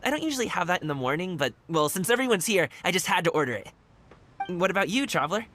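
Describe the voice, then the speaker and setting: A man's voice: high-pitched, big pitch swings, quick pace, pushed and bright, slight background noise, exaggerated voice. An actor playing an easygoing young man, a wushu dancer working as a guard, friendly and candid in a video game. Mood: cheerful. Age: young adult. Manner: friendly, laid-back and candid. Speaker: game character.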